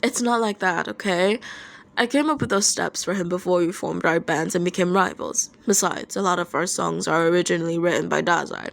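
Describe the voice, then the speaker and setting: A woman's voice: lowish voice, brisk, vocal fry. A woman playing a short, hot-tempered young male rock singer with a curt, grumbling, dry manner in an audio story. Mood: defensive.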